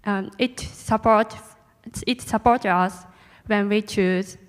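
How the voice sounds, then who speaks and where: A teenage girl, a native Japanese speaker, giving a careful, halting self-introduction in English as a student panelist. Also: mid-range voice, fairly even intonation. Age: teens. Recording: echoey hall.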